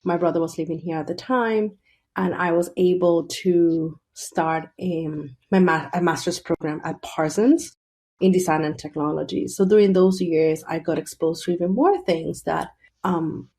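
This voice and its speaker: Guest: woman, 30s, lowish, chatty with restarts. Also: mellow. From Venezuela. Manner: chatty, restarts sentences, laughs often. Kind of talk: casual interview.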